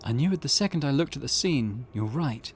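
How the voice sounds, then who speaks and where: A composed teenage girl who has seen curses before, modest and soft-spoken, as a man puts her on. Her voice rises and falls in a lively way. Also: medium pitch, brisk, slightly rough. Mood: calm. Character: calm teenage girl occult expert.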